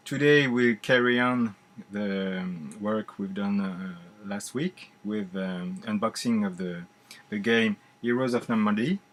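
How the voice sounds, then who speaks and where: A man in his forties with a French accent, haltingly explaining a board game in non-native English as a reviewer. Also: on the low side. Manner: halting, deliberately slow speech for learners.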